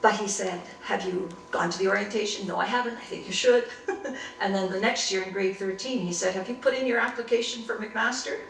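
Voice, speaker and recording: Older woman reminiscing, gravelly edges, recorded from across an echoey room. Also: mid-range voice, far from the mic, slight background noise. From Canada. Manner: reflective, unhurried storytelling with frequent restarts. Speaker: interviewee. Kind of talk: oral history interview.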